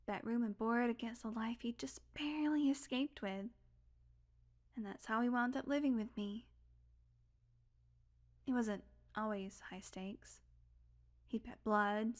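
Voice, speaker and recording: A woman's voice: on the high side, darkish tone, lightly acted. A woman playing a sardonic, secretly tender long-lived vampire in an intimate audio roleplay, talking in a casual, wry, confiding way. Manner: casual, confiding, wry, swearing, drifting into whispers.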